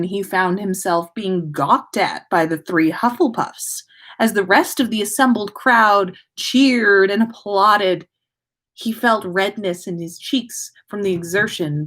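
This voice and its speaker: A woman hosting a casual story livestream, reading aloud expressively and chatting with listeners. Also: mid-range voice. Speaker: podcast host reading listener fan fiction aloud.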